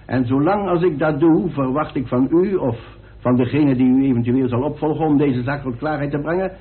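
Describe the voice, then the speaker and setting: A man playing a formal, dry-witted coroner in a radio drama, speaking in a measured, authoritative way. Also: fairly high pitch, lightly acted. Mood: stern, pointed. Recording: tinny band-limited old radio recording.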